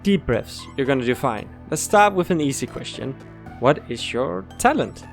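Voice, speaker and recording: A woman's voice: very deep voice, normal speed, creaky, faint music behind, full character voice. A woman playing a polite, encouraging school interviewer in an audio drama, formal yet reassuring. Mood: kindly, encouraging. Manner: formal but reassuring, expressive.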